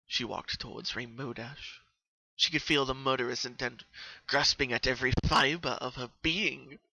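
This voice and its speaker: A storyteller reads horror fiction with theatrical intensity. The voice is sharp and forceful, recorded dry without room sound. The delivery is scripted and dramatic. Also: medium pitch, steady tone, moderate pace, creaky, dry recording, lo-fi sound. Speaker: narrator.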